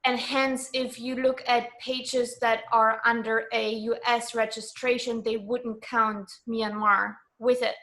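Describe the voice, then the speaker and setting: A woman from Germany as a guest in a casual interview, explaining things in measured detail. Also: fairly high pitch, flat delivery, a bit bright.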